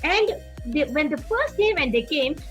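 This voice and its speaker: A woman's voice: high-pitched, sing-song, moderate pace, fairly bright tone, soft background music. A woman evangelist from Singapore giving earnest teaching and testimony, repeating phrases for emphasis.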